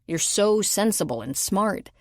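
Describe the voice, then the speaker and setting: Woman doing a gentle earnest middle-aged man. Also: mid-range voice, expressive, unhurried, no room sound, studio quality, lightly acted.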